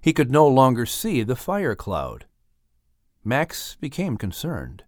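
A male audiobook narrator with a mid-pitched voice tells a children's story. His delivery is animated and dramatic, with careful phrasing and a dry studio sound. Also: takes it slowly, no echo. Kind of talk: expressive scripted storybook narration.

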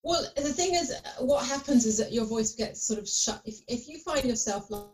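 A woman's voice: a little high, expressive, moderate pace, darkish tone, poor audio. A woman guest on a podcast, talking in a rambling way with lots of fillers and restarts. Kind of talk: casual conversation.

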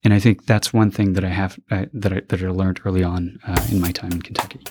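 A young man from Afghanistan thoughtfully telling stories about his college years, pausing to search for words and growing animated when he retells a scene. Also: low-pitched. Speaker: interviewee, college alumnus. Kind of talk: reflective personal storytelling.

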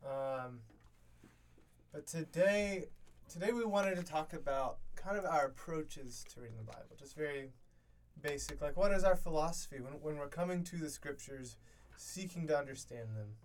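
Easygoing young man, slightly high voice. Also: expressive, moderate pace, a little hiss or hum. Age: 20s. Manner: easygoing, laughs often, many fillers. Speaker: podcast co-host. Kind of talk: casual chat. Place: college dorm room.

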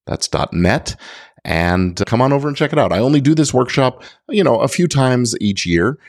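A man's voice: mid-range voice, big pitch swings, normal speed, no echo, clean studio sound. A middle-aged man hosting a podcast, explaining things step by step to listeners with emphasis on key words. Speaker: podcast host; lawyer.

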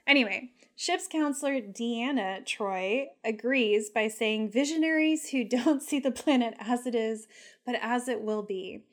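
A woman's voice: on the high side, measured pace. A woman co-hosting a podcast, chatting playfully with frequent laughs and recapping an episode in a teasing tone. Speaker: podcast co-host. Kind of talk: casual chat and episode recap.

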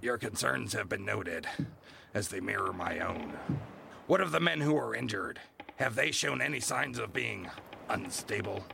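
An actor playing a cruel, domineering ship captain in a nautical audio drama, with a commanding, menacing manner and archaic sailor speech. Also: male voice, on the low side, animated, unhurried, creaky, noisy background, exaggerated voice. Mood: cold, measured.

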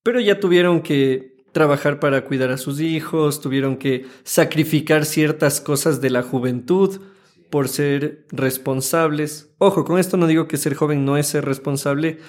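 A man's voice: on the high side, normal speed. A man in his thirties with an Ecuadorian Spanish accent, co-hosting a casual, conversational podcast. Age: 30s. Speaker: podcast co-host.

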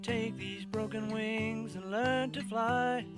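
A woman singing a gentle song in a folk style, accompanied by guitar. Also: fairly high pitch, steady tone, takes it slowly. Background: guitar music.

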